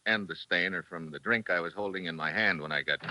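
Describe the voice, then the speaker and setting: An actor playing a tough, wry American investigator in a 1940s radio detective drama, with clipped, questioning delivery. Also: male voice, sits low, fairly quick, background noise, natural voice. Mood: brisk, wry. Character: tough, probing male American investigator, unruffled and sardonic.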